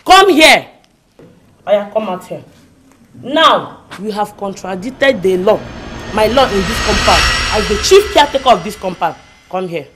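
A man's voice: high-pitched, expressive, moderate pace, background noise. A man playing a strict, bossy female caretaker of a compound, scolding and nagging in a comedy skit. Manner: scolding, nagging, repeats demands.

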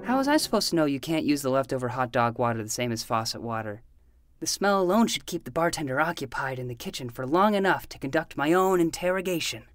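A woman's voice: very deep voice, expressive, fast, no echo, clean studio sound, faint music behind. A woman voicing an eager, self-important twelve-year-old boy who plays detective with dramatic hard-boiled delivery in a fiction podcast. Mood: hard-boiled, scheming. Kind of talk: fiction podcast acting and narration.

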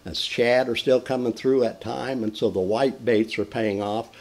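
An older man with a twang and a husky, slightly worn voice. He hosts a folksy fishing report from a cozy den, excited and rambling. He talks straight to the camera with lots of fillers and restarts. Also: medium pitch, moderate pace. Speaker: fishing show host. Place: indoor den with mounted fish on the wall.

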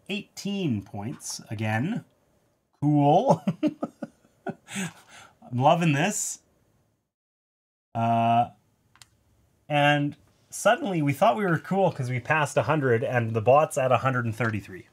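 A man in his thirties with a nasal voice, casually talking through a board game play-through from a gaming table indoors, explaining each move with wry asides. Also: mid-range voice.

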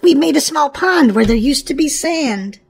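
A woman's voice: high-pitched, animated, normal speed, creaky. A woman voicing a strange, weepy little old goblin man who whines, in a storybook. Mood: weepy whining. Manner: whining.

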